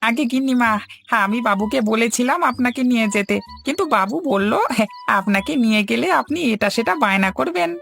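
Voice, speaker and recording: A man's voice: very high voice, moderate pace, vocal fry, fairly bright tone, full character voice. A man voicing a cheeky, sly, wheedling sidekick to a village landlord in a cartoon, hesitant and fawning. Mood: sly flattering. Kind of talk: cartoon dub. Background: music.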